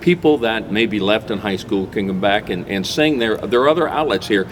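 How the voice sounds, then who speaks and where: A man with an American accent hosts a casual interview in a fairly deep voice. He is chatty and enthusiastic. Clear noise runs behind his voice. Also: lively intonation, brisk. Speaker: talk show host. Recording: clearly noisy background.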